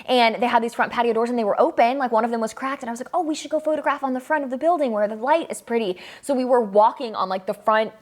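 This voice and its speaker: A woman's voice: on the high side, fast, hardly any pauses. A woman in her mid-twenties answering viewer questions in a casual vlog, upbeat and chatty, laughing often between her answers. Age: 20s. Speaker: photographer and vlogger. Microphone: camera mic, filmed by a friend.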